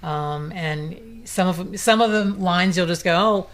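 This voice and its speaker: A woman's voice: fairly low pitch, unhurried. A woman guest from a theater company, chatting enthusiastically and searching for words. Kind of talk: casual interview chat.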